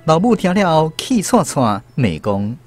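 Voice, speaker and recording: A man's voice: on the high side, very animated, measured pace, soft background music. A man narrating a radio drama in a scripted storytelling style.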